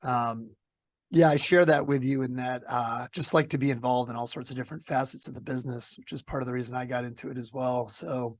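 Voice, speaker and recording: A man's voice: mid-range voice, steady tone, fairly quick, no room sound. A male podcast host with a scratchy voice from a cold, chatting casually and upbeat with many fillers. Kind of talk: conversational podcast interview.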